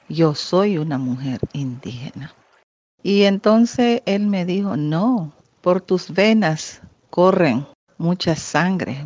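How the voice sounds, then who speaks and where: A Miskito-speaking woman from Nicaragua, answering interview questions in an earnest, deliberate way. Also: low-pitched, darkish tone, dry recording. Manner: earnest, deliberate, frequent "¿verdad?" tag. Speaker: guest. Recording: muffled lo-fi audio.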